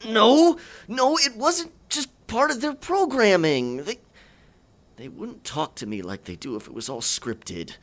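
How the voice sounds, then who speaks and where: A man playing a theatrical, sentimental pirate captain in a scripted audio roleplay, with a gravelly voice, archaic pirate talk and a halting, stammering dramatic delivery. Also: high-pitched, expressive, a bit bright. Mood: wistful, defensive.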